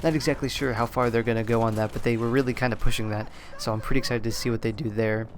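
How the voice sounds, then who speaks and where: Slightly hoarse man rambling about games. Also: mid-range voice, steady tone, quick pace, a little hiss or hum. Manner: rambling, self-correcting, often unsure. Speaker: gaming commentator. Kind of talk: casual solo commentary on a game reveal.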